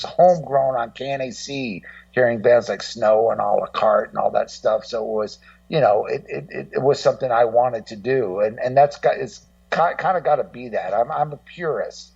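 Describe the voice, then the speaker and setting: A man chatting casually in an interview, rambling and blunt, often halting and repeating words. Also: medium pitch, vocal fry, a little mellow, no echo. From Los Angeles, United States. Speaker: guest co-host, documentary maker. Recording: dull, muffled, slightly distant sound.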